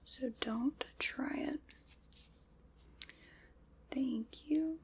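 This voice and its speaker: Woman doing a whispery skeleton armor fitter, bit high, takes her time, calm and methodical. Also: drawn-out words, creaky, a little hiss or hum, full character voice. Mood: gentle instructive. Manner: soft-spoken, polite step-by-step instructions. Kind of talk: whispered ASMR roleplay.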